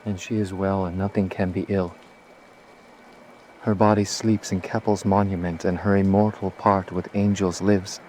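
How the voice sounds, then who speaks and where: An actor playing a loyal, sorrowful manservant, solemn and deferential, in a Shakespearean radio drama. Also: male voice, low voice, flat delivery, normal speed, darkish tone, close to an everyday voice, low-quality recording. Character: loyal, sorrowful manservant bearing bad news. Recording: faint background noise.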